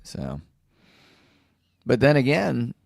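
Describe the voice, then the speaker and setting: Low gravelly guy hosting a podcast, chatty, dry close mic. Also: wildly swooping pitch, extremely slow, dry recording. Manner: leading questions, chatty, laughs easily. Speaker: podcast host. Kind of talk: casual chat.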